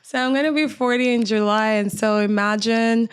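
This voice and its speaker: A woman in her late thirties from Cameroon speaks with a Cameroonian accent, French being her first language. She tells her stories as an interview guest, animated and quick to restart her sentences. Her voice is smooth and clear, recorded dry and close. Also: on the high side, no echo. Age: 30s. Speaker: podcast guest. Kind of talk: casual interview conversation.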